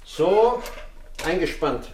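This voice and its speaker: A man's voice: high voice, very animated, drawn-out words, exaggerated voice. A man playing a wary but obliging barrel-organ maker, chatty and slightly fussy, in a German radio crime drama.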